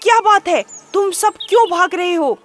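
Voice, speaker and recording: A woman voicing a commanding male lion, king of the jungle, in a storybook, making loud, roaring demands. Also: very high-pitched, sing-song, unhurried, faint music behind, voice pushed up, heavily acted.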